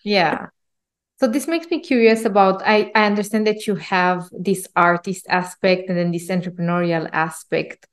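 A woman's voice: on the low side, steady tone, normal speed. A woman hosting a podcast, reading a scripted introduction and asking enthusiastic, earnest questions. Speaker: podcast host.

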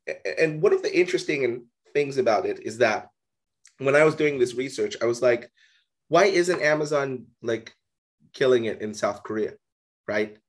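Male co-host, mid-pitched, relaxed rambling delivery, lots of filler "like". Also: moderate pace. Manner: casual, heavy use of "like". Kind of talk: casual business discussion.

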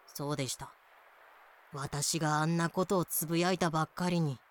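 A man playing a polite, long-suffering young navigator with dry, deadpan complaints in a fan-made voice drama. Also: fairly high pitch, normal speed, close to an everyday voice. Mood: resigned, wry. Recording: music behind the voice.

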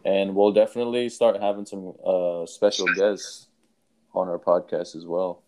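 A young man from Afghanistan co-hosting a casual podcast in an easygoing, even manner. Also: sits low, moderate pace. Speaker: podcast co-host.

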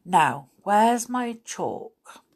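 A woman reading aloud and voicing a calm, unruffled male wizard. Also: mid-range voice, drawn-out words, full character voice. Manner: calm, reassuring.

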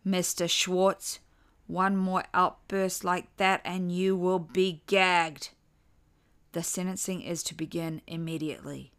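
Casting: female reader as solemn judge in a dark audio story, authoritative, deliberate, formal delivery. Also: on the low side, level tone, clean tone. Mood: stern. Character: stern male judge pronouncing sentence in court.

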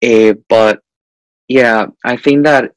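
A man's voice: mid-range voice, fairly even intonation, takes it slowly, clean tone, no echo, low-quality recording. A young man from Guatemala, a guest in a casual interview, who talks hesitantly with frequent "eh" fillers. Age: young adult.

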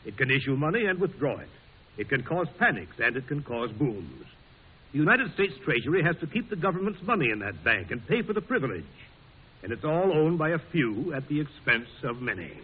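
Courtly old-radio general, husky and muffled. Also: male voice, a little high, big pitch swings. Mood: earnest. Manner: courteous, measured, folksy. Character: courtly, wry soldier president, plain-spoken and principled. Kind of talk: old-time radio drama acting.

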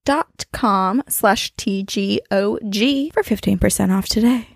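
Female podcast co-host, conversational, noticeable creak in the voice, very dry recording. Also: mid-range voice, unhurried, no room sound. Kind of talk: casual chat.

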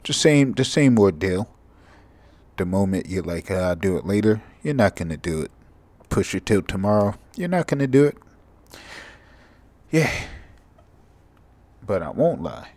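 A sleepy young man with a monotone, mumbly voice, hosting a rambling, profane solo podcast. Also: lowish voice, measured pace, pauses often, no echo. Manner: casual, profane, drifting from thought to thought. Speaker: podcast host.

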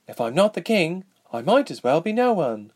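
A petite young princess, acted by a male narrator in a fantasy storybook. She speaks in a raised, swooping pitch. Her manner is earnest and emphatic, with an impatient, exasperated edge. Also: sing-song, moderate pace, darkish tone.

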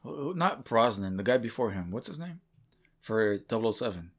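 A man's voice: on the low side, lively intonation, moderate pace. A man co-hosting a casual podcast in a home game room, speaking bluntly with swearing while worn down by toothache and lack of sleep. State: aching tooth, short on sleep. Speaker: podcast co-host. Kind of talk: casual chat.

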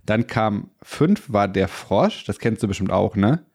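A man's voice: fairly low pitch, a little slow, vocal fry. A man who is slightly stuffed up from a cold, chatting casually and rambling as a podcast co-host. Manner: relaxed, rambling storyteller. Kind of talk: casual chat.

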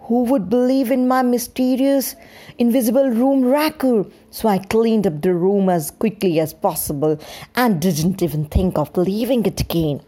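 A woman reading a scripted first-person story in a deliberate, dramatized storytelling manner. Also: mid-range voice, dark tone. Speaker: storyteller, podcast host.

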